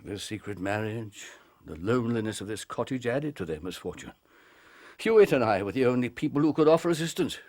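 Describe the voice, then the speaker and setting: An actor playing a grave, proud, compassionate older English doctor in a radio drama, speaking in an earnest, formal, emphatic way. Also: male voice, mid-range voice, animated, brisk, a little mellow, lightly acted. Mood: sombre grief. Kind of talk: British radio drama acting.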